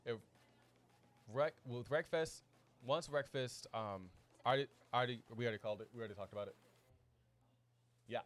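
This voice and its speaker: A man's voice: mid-range voice, brisk, pauses often. A man chatting casually as an esports commentator, informal and easygoing, feeling his way through his phrases. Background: background music.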